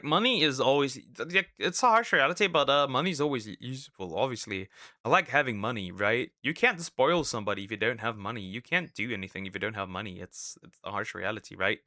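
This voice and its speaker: A man's voice: medium pitch, pushed and bright, no echo, clean studio sound. A man casually reacting to a video, talking in a laid-back, repetitive way and often asking "right?". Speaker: VTuber host reacting to a video.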